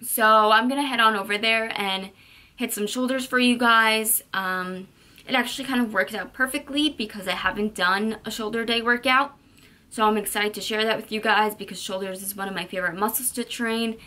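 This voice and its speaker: A woman's voice: a little high, fairly even intonation, normal speed, bright, forceful tone. A woman in her twenties talking casually to the camera from her bedroom as a fitness vlogger, upbeat and chatty with fillers like um and you know. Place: bedroom at home, indoors.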